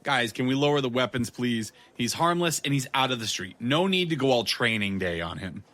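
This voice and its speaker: An actor playing a world-weary, sarcastic, blunt private detective in a noir audio drama. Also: male voice, mid-range voice, animated, a little fast, fairly bright tone, lightly acted. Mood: urgent, placating. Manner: sardonic, wry.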